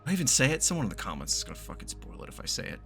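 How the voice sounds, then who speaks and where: A man's voice: medium pitch, big pitch swings, very fast, vocal fry. A man giving casual, blunt, sweary commentary as he reacts to a video game. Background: game music faintly.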